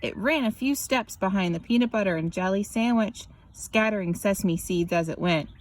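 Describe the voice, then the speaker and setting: Casting: female storyteller, mid-pitched voice, expressive picture-book narration with animated emphasis. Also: moderate pace. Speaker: children's story reader.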